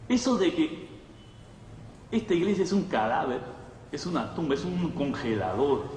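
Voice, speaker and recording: A man's voice: a little high, darkish tone. A man preaching a conversational sermon to a congregation, teaching with rhetorical questions and checking in with his listeners. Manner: didactic, with rhetorical questions and frequent check-in tags. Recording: echoey hall, distant mic, faint noise.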